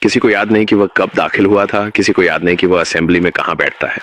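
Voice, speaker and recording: A man narrating a scripted horror story in a measured, ominous manner. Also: sits low, fairly even intonation, a bit bright, a little hiss or hum.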